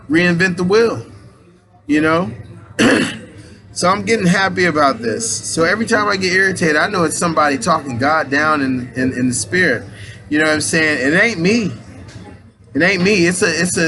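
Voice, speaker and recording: A man in his late thirties giving a rambling, casual spiritual pep talk in loose slang, restarting his sentences often. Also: fairly high pitch. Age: 30s. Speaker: aspiring minister and motivational speaker.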